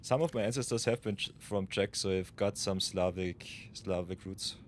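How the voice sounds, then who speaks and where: Casual game streamer, low voice over steady background noise, talking to himself and chat. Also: male voice, normal speed. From Austria. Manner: mutters plans to himself, chats with viewers. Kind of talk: casual live-stream commentary.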